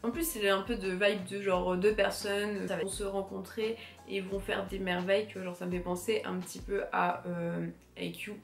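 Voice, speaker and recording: A woman's voice: mid-range voice, level tone, moderate pace, gravelly. A young woman with a cold and a stuffy nose, chatting casually to camera about what she plans to watch, with lots of fillers and restarts. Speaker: anime vlogger. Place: room with bookshelves. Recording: roomy echo, faint music behind.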